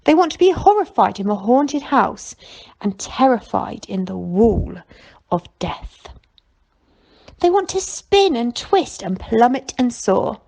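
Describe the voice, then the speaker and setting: A woman voicing a gruff, wistful fairground stallholder man in a storybook, talking in folksy dialect. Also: fairly high pitch, sing-song, takes it slowly, a little mellow, heavily acted, poor audio. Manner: folksy dialect speech, marvelling.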